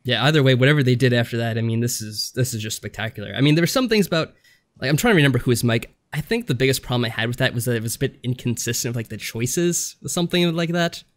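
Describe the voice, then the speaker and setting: A man with a medium-pitched voice co-hosts a casual review podcast. He talks very quickly, in an informal conversational way. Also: animated. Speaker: podcast co-host.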